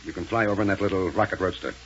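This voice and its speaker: An actor playing a ruthless, impatient gang leader who snaps orders, in a 1930s radio serial style. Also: male voice, sits low, fairly even intonation, very fast, fairly bright tone. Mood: brisk, commanding. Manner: curt, bossy, snapping orders. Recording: muffled, noisy 1930s radio recording.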